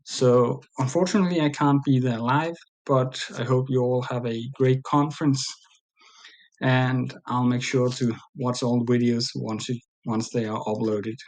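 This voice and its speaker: A man's voice: mid-range voice, fairly even intonation, a little slow, a little mellow, poor audio. Danish man presenting from his desk. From Denmark. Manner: plain, hesitant with frequent fillers. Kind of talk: recorded conference presentation. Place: small room indoors.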